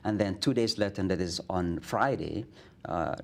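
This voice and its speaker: A man's voice: sits low, normal speed, gravelly. A middle-aged man with an Indonesian accent, a guest imam telling stories in a studio interview, earnest, with many restarts and fillers.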